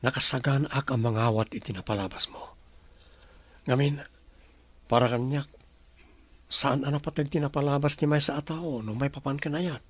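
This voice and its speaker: Casting: actor as devoted, accepting suitor, husky voice, dry close recording, audio drama. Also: male voice, mid-range voice, steady tone, normal speed, pauses often, a bit bright, dry recording, close to an everyday voice. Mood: sincere, tender. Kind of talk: Ilocano audio drama acting.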